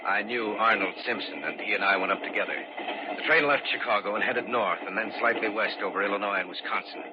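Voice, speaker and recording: An actor playing a steady, concerned man who narrates his eerie adventure in an old-time radio drama. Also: on the low side, fast, natural voice. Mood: somber narrating. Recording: dull, noisy old radio recording.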